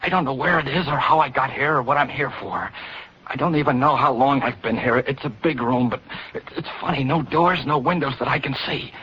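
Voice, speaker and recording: Man as frightened young driver, American, sharp urgent voice, stammering, old muffled radio drama. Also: mid-range voice, a little fast, natural voice. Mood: panicked. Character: frightened, plain-spoken young male laundry driver, ex-GI. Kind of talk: 1940s radio acting. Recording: muffled, noisy vintage radio recording.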